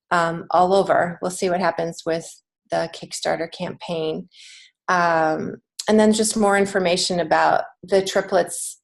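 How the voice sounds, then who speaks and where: A woman's voice: fairly low pitch, creaky. An American woman giving conversational interview answers as a podcast guest, speaking in an earnest, encouraging way. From United States. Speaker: podcast guest, children's book author and teacher.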